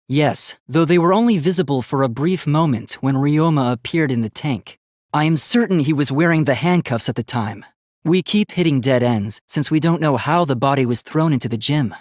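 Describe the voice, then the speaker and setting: A man's voice: on the high side, no room sound, clean studio sound, lightly acted. A man voicing an earnest, logical young robot student in a video game, speaking precisely and formally. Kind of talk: English video game voice acting.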